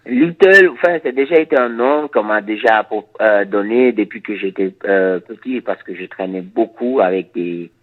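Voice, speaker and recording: A reggae musician from Suriname, a man whose first language is Dutch, answering interview questions in a halting, thoughtful way with many repeated words. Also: on the low side, narrow, tinny audio.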